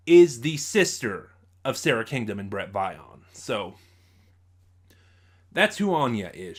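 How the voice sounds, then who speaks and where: A man hosting an informal review show, speaking haltingly and often backtracking to rephrase. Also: medium pitch. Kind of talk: informal audio drama review to camera.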